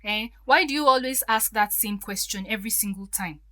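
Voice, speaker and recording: A woman's voice: mid-range voice, a little fast, bright, forceful tone, dry recording, natural voice. An actress playing a hurt, defiant stepdaughter in her thirties in an audio drama, emotional and pleading.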